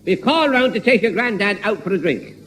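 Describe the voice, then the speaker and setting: A man's voice: high voice, lively intonation, a little fast, crowd noise. A man playing a jovial, flowery-spoken drinking pal in a 1960s radio comedy. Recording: noisy, reverberant old radio recording.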